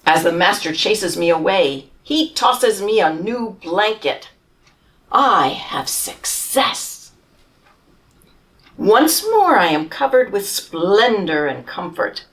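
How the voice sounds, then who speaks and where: A woman reading a children's storybook in the voice of a humble, tired male camel who tells his own tale slowly and tenderly. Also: mid-range voice, far from the mic. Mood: pleased. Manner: slow, tender storybook telling with lively rises.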